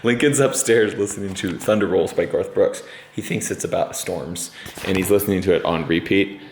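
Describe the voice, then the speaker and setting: A young adult man with a slightly hoarse voice, chatting casually as a veterinarian vlogger in an easygoing, rambling way. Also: low-pitched, moderate pace, echoey room, a little hiss or hum. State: a little hoarse. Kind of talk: casual vlog chat.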